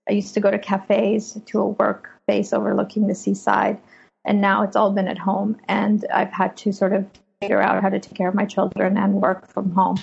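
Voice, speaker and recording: Husky woman from Afghanistan, lo-fi audio. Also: medium pitch, completely flat delivery, a little mellow. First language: Persian. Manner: measured, reflective. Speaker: journalist, podcast guest. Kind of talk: interview over remote link. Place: home office room. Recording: muffled lo-fi remote audio.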